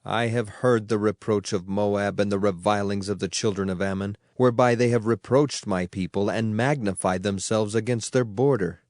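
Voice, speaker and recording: A man's voice: on the low side, steady tone, moderate pace, few pauses, darkish tone, no room sound, studio quality. A man giving a solemn, dramatized audio Bible reading with declamatory emphasis. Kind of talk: dramatized scripture reading in archaic English. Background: background music.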